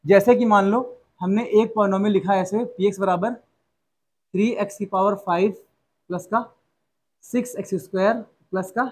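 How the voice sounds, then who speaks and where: Young male maths teacher, high voice, keeps asking and answering his own questions. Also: darkish tone. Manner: repetitive rhetorical questions, addresses students as "beta". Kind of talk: classroom lesson explanation.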